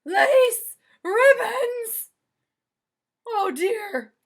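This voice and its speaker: A woman's voice: extremely high pitch, animated, very slow, creaky, voice pushed up, full character voice. A woman voicing an easily shocked, exasperated lady of the house in a children's storybook, exclaiming dramatically. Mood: shocked, dismayed.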